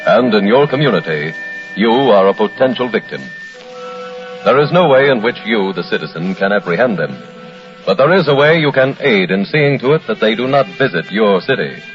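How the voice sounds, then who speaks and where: Grave low-voiced American radio narrator. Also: male voice. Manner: grave, earnest. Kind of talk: formal scripted 1940s radio narration. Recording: muffled old radio broadcast.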